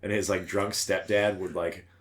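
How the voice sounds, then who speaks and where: A man with an American accent and a deep voice co-hosts a casual comedy podcast. His talk is irreverent banter, thick with profanity. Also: level tone, moderate pace. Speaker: podcast co-host.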